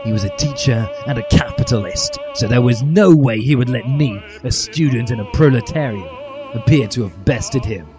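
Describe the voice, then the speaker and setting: A man's voice: mid-range voice, animated, moderate pace, over music. A man reading a parody story in a mock-earnest, emphatic way, occasionally tripping over lines. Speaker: podcast reader of parody fan fiction.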